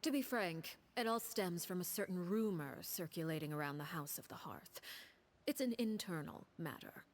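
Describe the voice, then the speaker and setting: A woman voicing a calm, commanding woman who leads a household of orphans, speaking in a soft, breathy half-whisper in a video game. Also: lowish voice, natural voice. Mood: composed. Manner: calm, measured, courteous. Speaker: game character called Father.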